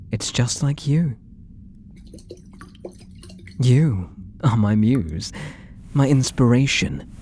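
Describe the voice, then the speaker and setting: A British man voicing an obsessed, possessive artist and menacingly tender lover in a breathy, theatrical ASMR roleplay, drifting into whispers. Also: lowish voice, darkish tone, a little hiss or hum, full character voice. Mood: smug, adoring. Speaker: audio roleplay voice actor.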